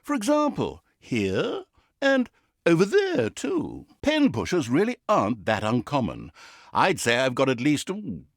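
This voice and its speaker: An actor playing a smug, petty narrator of a comic fantasy video game, pompous and sardonic, breaking the fourth wall in hammy storytelling. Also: male voice, medium pitch, vocal fry, no room sound, studio quality, exaggerated voice.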